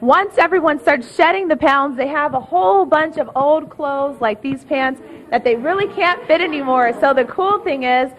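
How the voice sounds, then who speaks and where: A woman's voice: high-pitched, moderate pace, rough recording. A woman doing an upbeat live morning TV field report from a busy indoor gym, speaking into a handheld mic. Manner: upbeat, bright presenter delivery.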